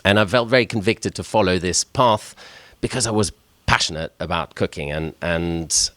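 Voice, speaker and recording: A middle-aged man from Switzerland, a chef, tells his life story to a gathering with a deep, low voice. He speaks haltingly and stumbles over repeated words as he begins each thought. The sound is dry and close, with almost no room around it. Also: a bit bright, no echo. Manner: hesitant, repeats words when starting sentences. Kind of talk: personal story talk.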